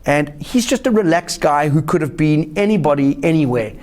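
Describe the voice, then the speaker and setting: A middle-aged South African man, a comedian and interview guest, telling animated anecdotes with sudden jumps in pitch. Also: normal speed. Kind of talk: casual interview storytelling.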